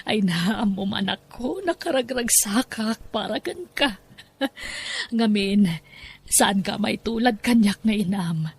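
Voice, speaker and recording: Husky, animated, doting mother. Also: mid-range voice, a little slow. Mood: delighted. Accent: Ilocano Filipino. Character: doting, effusive mother of the bride. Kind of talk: Ilocano podcast comedy-drama acting.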